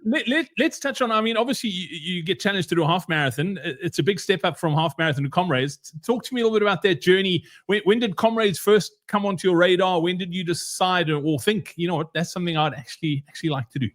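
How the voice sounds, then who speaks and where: A man's voice: high voice, fast talker, hardly any pauses, fairly bright tone. A South African man hosting a casual podcast interview, chatty and informal, often repeating his phrases. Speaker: podcast host.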